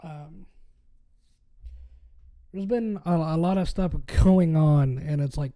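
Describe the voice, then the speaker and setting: A man hosting his own podcast, hoarse and a little raspy after being sick, rambling casually with lots of fillers. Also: a little high, takes it slowly, dark tone. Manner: rambling with many fillers and restarts. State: hoarse from a recent illness. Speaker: podcast host. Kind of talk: casual solo podcast talk.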